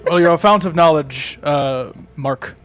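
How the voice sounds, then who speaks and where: A man's voice: fairly high pitch, unhurried, fairly bright tone. A man with a British accent talking casually as a player in a tabletop roleplaying game.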